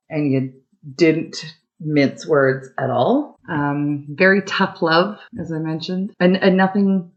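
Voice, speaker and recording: Low-pitched woman, candid and emphatic. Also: lo-fi sound. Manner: candid, emphatic, colourful colloquial phrasing. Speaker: podcast guest telling family memories. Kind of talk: conversational personal storytelling.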